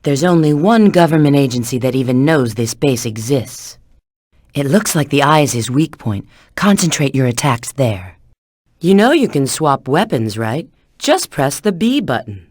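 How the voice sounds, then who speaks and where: A woman's voice: very deep voice, big pitch swings, normal speed, darkish tone, no echo, studio quality, heavily acted. A woman voicing a confident, flirty female bat spy and treasure hunter in a video game, with a cool, knowing, instructive manner. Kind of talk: video game character voice acting.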